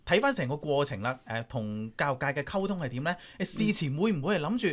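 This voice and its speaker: A man's voice: fairly high pitch, lively intonation, quick pace. A man with a Hong Kong Cantonese accent hosting a radio interview, putting probing questions in an informal, chatty way. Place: radio studio.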